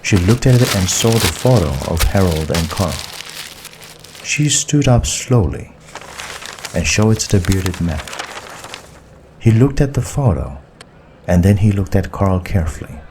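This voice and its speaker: Deep-voiced, slow-paced male audiobook narrator. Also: expressive, background noise. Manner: measured, deliberate storytelling. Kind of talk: scripted story narration.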